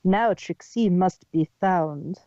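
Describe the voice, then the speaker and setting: A woman voicing a wise, concerned female zebra healer with formal, measured phrasing in a fan fiction story. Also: lowish voice, dark tone, no echo, lo-fi sound. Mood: concerned, urging.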